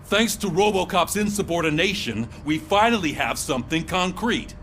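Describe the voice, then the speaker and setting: High-voiced, animated police commander. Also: male voice, moderate pace, a little hiss or hum. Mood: stern, urgent. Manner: authoritative, businesslike. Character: no-nonsense police sergeant briefing his squad. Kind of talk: video game voice acting.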